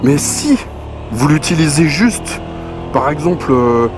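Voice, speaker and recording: A man's voice: fairly high pitch, expressive, takes it slowly, background noise. A man giving a casual, colloquial product review, chatty and slangy as he presents. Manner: colloquial, conversational, punctuated with slang and spoken sound effects. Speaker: motorcycle gear reviewer, presenter. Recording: music playing behind the voice. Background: clear background music.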